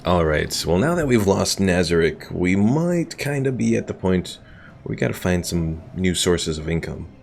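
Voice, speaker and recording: A man's voice: deep voice, big pitch swings, moderate pace, gravelly, darkish tone, a little hiss or hum. A man streaming a strategy game, chatting casually and self-deprecatingly about his battles and answering his chat. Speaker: gaming streamer. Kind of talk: casual gameplay commentary.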